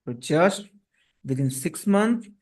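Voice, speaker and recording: A man's voice: on the high side, lively intonation, slow pace, darkish tone. A man with an Indian accent teaching a devotional story for children in a didactic manner, often checking in with short tag questions. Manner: didactic, frequent check-in tags. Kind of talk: children's scripture story lesson.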